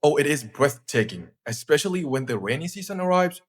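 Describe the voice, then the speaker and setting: A man's voice: fairly high pitch, expressive, a little fast, breathy edge. A twenty-two-year-old man with a Thai accent, presenting a scripted class talk in a friendly way. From Thailand. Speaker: student presenter.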